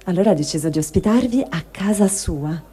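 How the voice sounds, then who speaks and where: A woman's voice: lowish voice, expressive, fairly quick, warm tone, slightly rough. A woman giving a dramatic reading of a first-person written testimony. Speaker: reader of a translated testimony.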